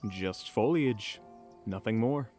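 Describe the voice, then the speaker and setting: A man's voice: on the low side, slow, a little mellow, soft background music. A man playing a refined, slightly pompous travelling companion in an audio roleplay. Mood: dry, cultured.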